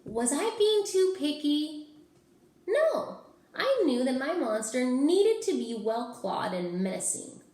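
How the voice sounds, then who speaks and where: A woman teacher reading a picture book aloud to young children with gentle, expressive storytelling. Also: high-pitched, slow pace. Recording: roomy echo, faint background noise.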